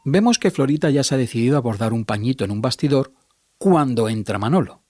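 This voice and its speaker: A man's voice: medium pitch, expressive, fast, darkish tone, faint music behind. A man reading scripted narration of stage directions as the narrator of a radio drama.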